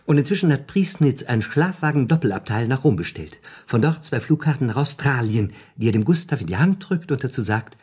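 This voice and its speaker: A theatrical storyteller in a German radio drama. His husky voice swings widely in pitch as he eagerly lays out a crime plot and slips into the lines of its characters. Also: expressive, brisk, hardly any pauses, a little mellow. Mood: dramatic narrating. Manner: theatrical, suspenseful, acts out lines.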